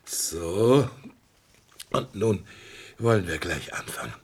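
An actor playing a blind old male art collector in a radio drama, eager and proud, with a husky voice and slow speech. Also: low-pitched, lively intonation, heavily acted. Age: older.

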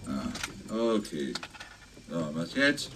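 A man's voice: sits low, extremely slow, heavily acted. An actor playing a dry, laconic patrol policeman in a radio crime drama. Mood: weary. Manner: deadpan, laconic. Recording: faint outdoor ambient noise.

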